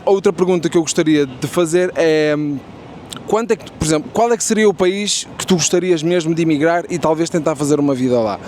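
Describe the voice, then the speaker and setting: A man's voice: a little high, fairly quick, darkish tone, slight background noise. A young Portuguese man with a European Portuguese accent, casually interviewing strangers on a busy city street with lots of fillers. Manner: chatty, friendly, many fillers and restarts. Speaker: street interviewer and content creator.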